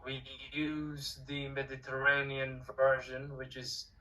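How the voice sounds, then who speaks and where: A young man whose accent mixes Cork and Filipino, talking as a podcast guest and often pausing with fillers and restarts. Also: medium pitch, flat delivery, pushed and bright, recorded from a distance, rough recording. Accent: mixed Cork and Filipino. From Ireland. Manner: hesitant, many fillers and restarts. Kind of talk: casual conversation.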